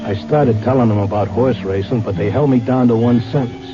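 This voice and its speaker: An actor playing a stubborn, cynical, wisecracking husband in a radio drama, with slangy, blunt delivery. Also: male voice, mid-range voice, a little fast, loud music behind the voice, lightly acted. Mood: weary narration. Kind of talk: old-time radio drama acting with narration. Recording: muffled, noisy vintage radio recording.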